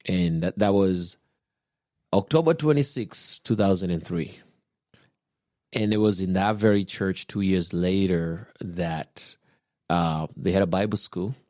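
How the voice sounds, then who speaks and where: A man, calmly telling his personal faith story on a podcast, unhurried and reflective. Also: low voice, no echo, clean studio sound. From Kenya. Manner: unhurried, reflective, with soft chuckles. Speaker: podcast guest husband, pastor.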